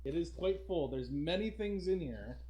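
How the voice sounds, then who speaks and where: A man's voice: fairly high pitch, expressive, moderate pace, mellow, slight background noise. Casual male livestream podcast host. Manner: informal, addresses viewers as guys.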